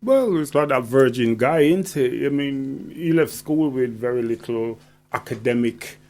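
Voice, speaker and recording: A man's voice: mid-range voice, lively intonation, measured pace, darkish tone, soft background music. A man chatting casually as a guest on the radio, often adding tag phrases. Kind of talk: casual radio conversation with performed dub-poetry libation.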